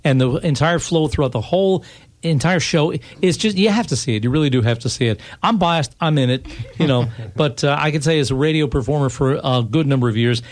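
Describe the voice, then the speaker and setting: A man's voice: a little high, a little fast. A man hosting a casual live radio interview in a studio, chatting in a folksy way. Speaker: radio host and performer.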